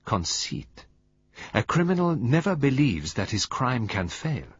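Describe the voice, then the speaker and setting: A man voicing a calm, measured, grave elderly detective. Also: mid-range voice, husky, dry recording, voice pushed up. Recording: dull lo-fi recording.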